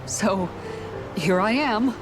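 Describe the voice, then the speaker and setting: An actress playing a kind, steady warrior woman comforting friends in a video game cutscene. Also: medium pitch, takes it slowly, lightly acted, low-quality recording. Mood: friendly. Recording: music behind the voice.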